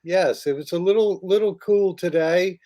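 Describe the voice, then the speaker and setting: A man's voice: sits high, moderate pace, warm tone. A man hosting a casual livestream chat show, talking in an easygoing way. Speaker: show host.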